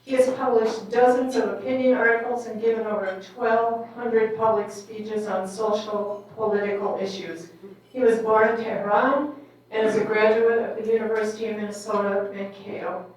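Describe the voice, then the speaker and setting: A woman moderating a public forum, reading out speaker introductions with pauses and fillers. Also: a little high, fairly even intonation. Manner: reads bios, with pauses and fillers. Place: large echoey meeting hall. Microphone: distant microphone. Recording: echoey hall, mic far off.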